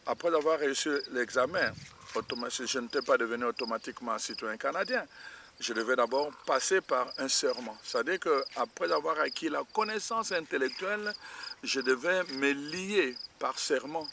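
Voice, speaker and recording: A middle-aged man from Congo preaching an online sermon, pausing often with fillers and restarts. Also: mid-range voice, noisy background. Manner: halting, many fillers and restarts. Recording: distant mic, crickets and insects behind.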